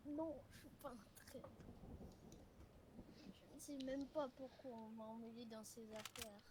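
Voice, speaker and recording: A woman's voice: fairly high pitch, unhurried, close to an everyday voice. Noisy recording, grumbling young survivor, woman acting. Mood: pained, protesting. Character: grumbling, wounded survivor of zombie apocalypse. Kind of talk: improvised amateur game roleplay. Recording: noisy home recording with clicks.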